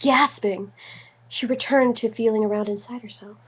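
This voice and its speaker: A woman's voice: a little high, fairly even intonation, normal speed, slightly rough. A tipsy woman giving a theatrical, mock-dramatic reading of comic fan fiction, prone to giggling. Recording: slightly dull lo-fi home recording.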